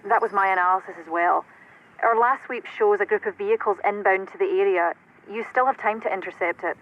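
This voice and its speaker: A composed female intelligence operator voiced by an actress in a military game cutscene. She briefs formally and methodically in a mid-pitched voice, with faint noise behind her. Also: lo-fi sound, close to an everyday voice. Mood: composed briefing. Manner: formal, measured, procedural. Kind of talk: video game cutscene acting.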